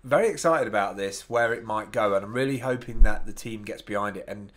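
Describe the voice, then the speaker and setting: A man's voice: fairly low pitch, brisk, a bit bright. A man co-hosting an app review show, chatting informally and with enthusiasm about tech. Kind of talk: casual tech review chat.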